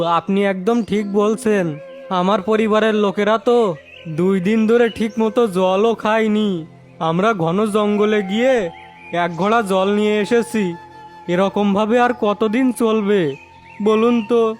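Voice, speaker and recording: Slow, high-voiced complaining villager man acting. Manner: complaining, deferential. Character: troubled, deferential villager, family man. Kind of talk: cartoon fairy-tale voice acting.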